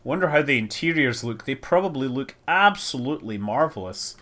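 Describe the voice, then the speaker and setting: A man's voice: mid-range voice, animated, fairly quick, a bit bright. A man giving casual live stream commentary as he plays, rambling, thinking aloud and exclaiming over details. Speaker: streamer playing a space building game.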